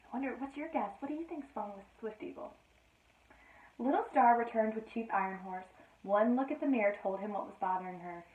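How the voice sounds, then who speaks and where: Echoey, high-voiced woman reading a storybook. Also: brisk. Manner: addresses listeners with playful questions, dramatic emphasis. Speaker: children's read-aloud storyteller, teacher. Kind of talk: expressive children's storybook read-aloud with casual asides. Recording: roomy, echoey, narrowband sound.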